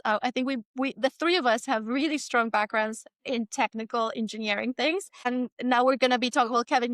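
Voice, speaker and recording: A woman with a slightly high voice hosts a podcast, putting things to her guests conversationally. The recording is dry, with very little room sound. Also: fairly bright tone, no room sound. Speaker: podcast host. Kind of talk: conversational interviewing.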